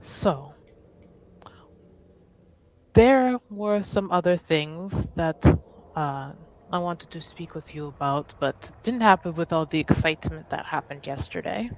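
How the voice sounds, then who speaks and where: Woman playing a polite, slow-spoken young noblewoman. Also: sits low, lively intonation, exaggerated voice, rough recording. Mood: careful, formal. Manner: polite, formal, careful. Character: courteous, measured young noblewoman of a ducal house.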